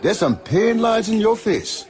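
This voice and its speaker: A man's voice: high voice, big pitch swings, measured pace, darkish tone, a faint crowd. A man acting in a radio drama as a loyal, easygoing island fisherman sidekick, with a Caribbean accent and a folksy, deferential manner. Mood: friendly.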